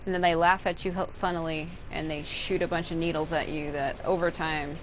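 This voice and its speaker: A woman's voice: sits low, flat delivery, a little fast, slight background noise, low-quality recording. A woman giving casual, rambling let's play commentary, with some swearing. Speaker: gaming streamer. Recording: game music behind the voice.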